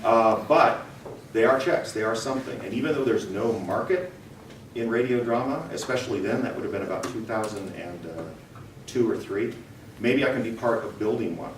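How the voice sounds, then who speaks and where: A man's voice: medium pitch, moderate pace. A middle-aged man giving an informal, conversational and emphatic talk to students. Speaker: guest speaker, radio theater company owner. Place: room, talk to an audience of students. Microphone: distant from the speaker. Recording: roomy, distant mic with background noise.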